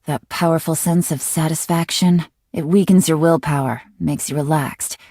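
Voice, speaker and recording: An actress playing a mysterious, calculating young female intelligence agent in a video game, with a measured, teasing and self-assured delivery. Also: low voice, fairly even intonation, mellow, husky, dry recording, studio quality. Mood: relaxed, musing. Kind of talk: scripted video game character voice lines.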